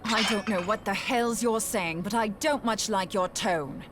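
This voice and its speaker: A woman's voice: mid-range voice, unhurried, soft background music, close to an everyday voice. A woman voicing a plucky, wry young axe-wielding scholar in a fantasy video game, breezy, blunt and conversational. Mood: defiant.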